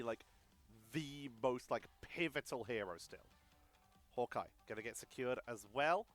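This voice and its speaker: A man's voice: a little high, fairly bright tone. A man with a cold-stuffed nasal voice giving solo play-by-play commentary on an esports match, chatty and thinking aloud between calls. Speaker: esports caster. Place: home setup.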